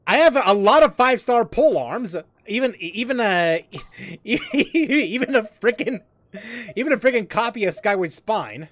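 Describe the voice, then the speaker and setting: High, lively-voiced man streaming a game. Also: measured pace. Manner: rambling, many fillers and restarts, reads chat aloud. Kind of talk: casual gaming stream chat.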